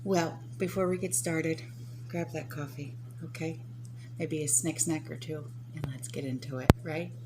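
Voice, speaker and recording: Female vlog host, very deep and slow, chatty with tag questions; humming background. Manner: chatty, keeps checking in with "okay?" and "right?". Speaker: prepping channel host. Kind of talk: casual talk to viewers. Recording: steady hum behind the voice.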